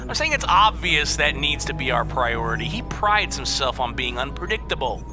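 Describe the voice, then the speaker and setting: An actor voicing a sarcastic, irritable talking bird in an audio drama, with snide, insulting delivery. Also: male voice, mid-range voice, sing-song, moderate pace, over music, lightly acted. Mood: snarky. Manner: snarky, insulting.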